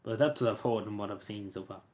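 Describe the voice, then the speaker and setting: A man's voice: on the low side, quick pace, a little mellow. A man chatting casually on a livestream, rambling and hesitant with lots of fillers.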